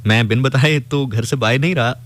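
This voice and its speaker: A man's voice: medium pitch, a little fast, fairly bright tone. A man with a Pakistani accent, a radio host telling a story conversationally and reflectively, dropping in English phrases.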